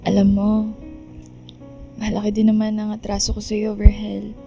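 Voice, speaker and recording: Woman acting a remorseful young woman, mellow, fairly even voice. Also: medium pitch, normal speed, vocal fry, faint music behind, close to an everyday voice. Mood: regretful, forgiving. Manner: apologetic, earnest. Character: remorseful, self-sacrificing young woman in love triangle. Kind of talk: radio drama dialogue.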